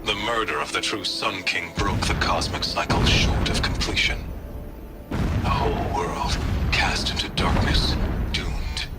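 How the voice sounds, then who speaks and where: A ruthless, self-exalting zealot delivers a video game monologue. His low voice moves very slowly and solemnly, with brooding intensity and dramatic pauses. Loud music plays behind him. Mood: fervent, solemn.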